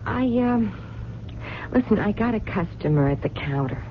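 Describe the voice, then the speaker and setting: A woman playing a soft-hearted American diner waitress in a radio drama, casual and folksy in her talk. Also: mid-range voice, animated, normal speed, natural voice. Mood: reluctant. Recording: dull lo-fi radio recording, faint noise.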